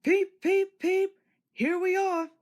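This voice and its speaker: A woman voicing a cheerful, eager young male steam engine with an excited, earnest way of exclaiming. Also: extremely high pitch, slow, voice pushed up.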